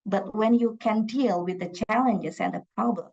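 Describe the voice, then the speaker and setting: A woman's voice: medium pitch, normal speed, vocal fry, darkish tone, highs cut off. A young woman from Indonesia with an Indonesian accent, a PhD student presenting at a conference, speaking with frequent fillers and restarts. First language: Javanese. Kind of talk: conference talk. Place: conference room.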